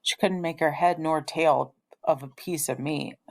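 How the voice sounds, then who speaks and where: Woman reading as a gentle, measured preacher father. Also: low voice, steady tone, creaky. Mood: wistful. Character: gentle, reserved preacher father, adult man, in storybook.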